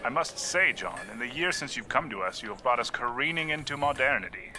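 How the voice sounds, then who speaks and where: A man playing an urbane, inhuman immortal gentleman agent in an audio drama, dry, wordy and needling. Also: medium pitch, expressive, brisk, very noisy, lightly acted. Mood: wry, pleased. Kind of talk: scripted audio drama acting.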